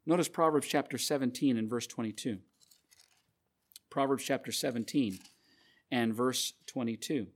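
A man's voice: mid-range voice, moderate pace, long pauses. A man preaching a sermon, earnestly repeating scripture references and stressing key phrases.

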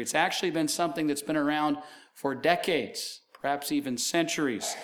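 A middle-aged man preaching a sermon, losing his voice, telling stories with casual asides. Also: on the high side. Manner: conversational storytelling with asides. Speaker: pastor.

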